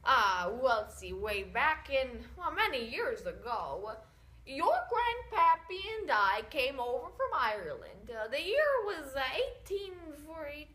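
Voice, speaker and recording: A woman's voice: sits high, sing-song, a little slow, bright, forceful tone, exaggerated voice. A woman playing a doting, forgetful old granny. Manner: rambling, full of hesitations. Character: elderly Irish grandmother, doting, forgetful. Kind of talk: amateur skit acting.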